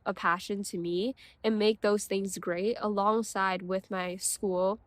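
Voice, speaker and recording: A woman's voice: mid-range voice, fairly even intonation, a little slow, dry recording. A teenage girl from China reflecting in a personal vlog, thoughtful and hesitant, with many fillers and restarts. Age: teens. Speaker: vlogger.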